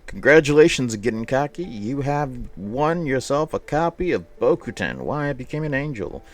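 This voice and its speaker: A man's voice: mid-range voice, big pitch swings, normal speed. Man rambling over game music. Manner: rambling, repeats himself. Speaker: game streamer at a charity event. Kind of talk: casual live game-stream commentary. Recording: music playing behind the voice.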